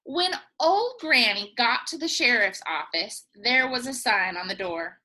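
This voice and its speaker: A woman teacher reading a picture book aloud to young children, expressive and full of playful asides. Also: fairly high pitch, a little slow, poor audio. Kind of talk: children's storytime read-aloud.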